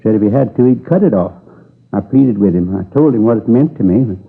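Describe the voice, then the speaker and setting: An actor playing a shaken middle-aged robbery victim in a 1950s radio police drama, plaintive and husky. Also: male voice, fairly low pitch, lively intonation, a little fast, heavily acted. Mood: pleading. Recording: tinny old radio broadcast.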